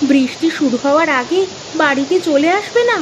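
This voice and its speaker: A woman's voice: sits high, animated, a little fast, noisy background. A woman voicing a practical, concerned village wife in a cartoon story, caring but a little nagging. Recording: music clearly behind the voice.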